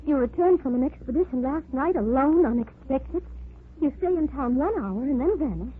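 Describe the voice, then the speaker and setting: An anxious young woman, high and urgent. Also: animated, normal speed. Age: 20s. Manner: urgent, pressing. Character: anxious young woman pleading with her lover. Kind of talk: 1940s radio acting. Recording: tinny old radio recording with hiss.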